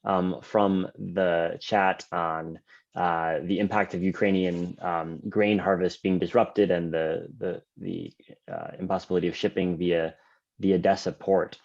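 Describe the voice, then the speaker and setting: A male moderator with a low, flat voice guiding a panel over a video call. He speaks in a measured, halting way with frequent fillers. The audio is dull and lo-fi. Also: vocal fry.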